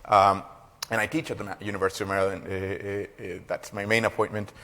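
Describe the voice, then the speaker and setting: A man's voice: on the low side, level tone, fast talker, pushed and bright. A man of around forty from Rica, giving a keynote lecture with a lapel mic. He speaks hesitantly, with many fillers and small jokes. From Costa Rica. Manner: hesitant, filler-heavy, joking. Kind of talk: academic lecture. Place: large lecture hall. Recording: long reverb in a lecture hall.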